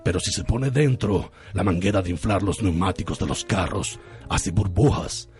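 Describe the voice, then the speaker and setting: A man's voice: sits low, normal speed, a little mellow, faint music behind. A man acting a pompous, evasive lover in a theatrical, over-earnest radio soap parody. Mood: smug, evasive.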